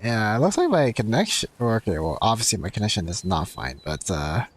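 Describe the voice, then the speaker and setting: A man's voice: fairly low pitch, very animated, brisk, husky. A young man commenting casually on his gameplay as a streamer, chatty and rambling. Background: faint game music.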